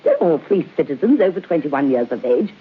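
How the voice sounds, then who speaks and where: Husky, imperious lady of the house. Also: deep voice, big pitch swings, a little fast, full character voice. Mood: imperious, angry. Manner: haughty, clipped, dismissive. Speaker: actor. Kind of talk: radio horror drama acting. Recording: tinny, narrow-band old radio recording.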